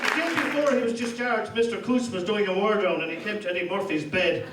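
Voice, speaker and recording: A man's voice: high voice, fast, over a noisy crowd. A man with an Irish accent telling a comic story from a stage to an audience. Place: stage in a hall, audience reacting. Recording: noisy echoey hall, distant mic, loud audience.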